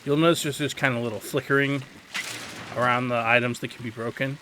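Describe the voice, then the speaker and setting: A man's voice: medium pitch, steady tone, brisk, noisy background. A man giving a casual, instructive video game tutorial in an opinionated, emphatic way. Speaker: video game guide host.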